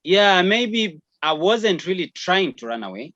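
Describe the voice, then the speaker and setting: A man in his thirties from Zambia, a musician talking reflectively in a remote interview, filling pauses with "you know". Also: high-pitched, very animated, pushed and bright, no echo, dull audio. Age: 30s. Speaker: musician guest. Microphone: video or phone call.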